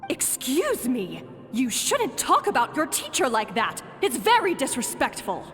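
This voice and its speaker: A woman's voice: sits high, huge pitch swings, a little slow, heavily acted. A woman playing a protective, earnest village mother in a dubbed anime game, speaking emphatically. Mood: scolding. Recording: long reverb, music behind.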